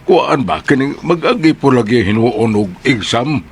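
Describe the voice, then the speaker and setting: An actor with a Cebuano accent playing a friendly neighbour in a radio drama. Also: male voice, mid-range voice, lively intonation, unhurried, breathy edge. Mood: jovial. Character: friendly neighbour, a father himself.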